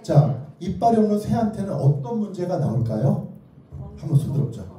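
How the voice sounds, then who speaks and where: A man's voice: high-pitched, big pitch swings, brisk, mellow. A man giving an interactive lecture, playfully and theatrically prompting his listeners with either-or questions. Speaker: children's author giving a lecture. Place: echoey indoor lecture room. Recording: very echoey hall, distant mic.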